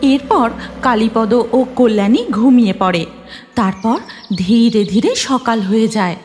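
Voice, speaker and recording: A woman's voice: on the high side, measured pace, a little mellow, slight background noise. A woman narrating a cartoon story from a script.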